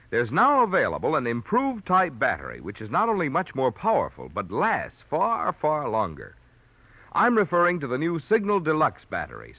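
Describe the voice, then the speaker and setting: A man's voice: medium pitch, dry recording. A man with an American accent reading a scripted sponsor message as a polished, emphatic 1940s radio announcer. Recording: muffled old radio broadcast recording.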